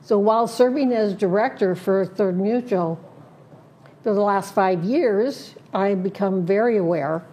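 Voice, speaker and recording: A woman serving as a community board director, laying out her plans in an interview, listing points slowly with many fillers and restarts. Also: mid-range voice, mellow, husky. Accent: Southern Californian. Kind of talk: prepared statement in an interview.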